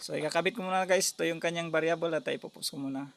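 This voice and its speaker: A man with a Filipino accent giving a casual, hands-on, step-by-step repair demonstration as a tutorial host, speaking haltingly and often addressing viewers. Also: a little high, fairly even intonation, creaky. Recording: noisy amateur recording with clicks.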